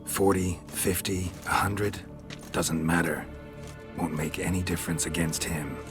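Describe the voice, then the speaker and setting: Very deep, deadpan monster hunter. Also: male voice, unhurried, music in the background. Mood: firm, explanatory. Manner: clipped, deadpan, drops sentence openings. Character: stoic gruff male monster hunter, weary and matter-of-fact. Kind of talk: video game voice acting.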